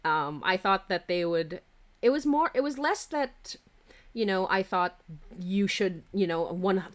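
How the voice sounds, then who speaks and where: A woman's voice: medium pitch, animated, measured pace. A woman hosting a casual livestream, chatting in a bubbly, giggly, rambling way. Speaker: livestream host.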